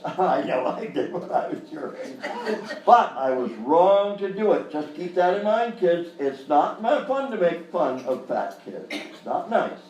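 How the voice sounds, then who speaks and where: An actor playing a falsely cheerful, patronizing children's TV host in a live comedy parody. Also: male voice, on the high side, darkish tone. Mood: earnest lecturing. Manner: exaggerated jolly, patronizing. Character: forced-cheerful grown-up male children's TV show host. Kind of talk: parody of early local kids' TV, live sketch acting. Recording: roomy live stage, distant mic, faint noise.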